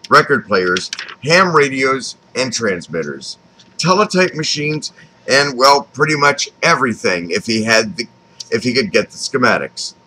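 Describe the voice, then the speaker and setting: An American man in his fifties narrates his own life story from a script. His voice is bright and forward, with lively rises and falls in pitch. He phrases deliberately and pauses often. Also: low-quality recording. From Texas, United States. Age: 50s. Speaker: author reading his own memoir. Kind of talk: scripted autobiographical narration, read aloud.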